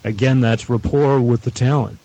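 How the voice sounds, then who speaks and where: A middle-aged man giving measured advice as a guest at a roundtable. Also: mid-range voice, fairly even intonation, creaky, mellow, highs cut off, a little hiss or hum. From Canada. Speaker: audio producer, guest panelist.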